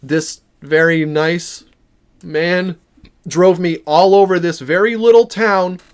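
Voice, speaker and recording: A man with a slightly high voice tells a personal story to his viewers. He is casual and animated, slipping into quoted dialogue as he recounts things. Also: slow, clean tone. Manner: acts out dialogue within the story. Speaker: video creator telling a personal story.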